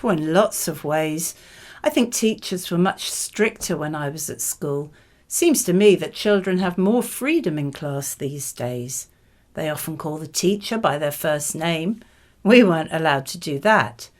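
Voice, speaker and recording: A woman with a slightly low-set voice reads model answers for an English speaking test. She is a native speaker and sounds conversational though scripted. Her delivery is measured and explanatory. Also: a little mellow.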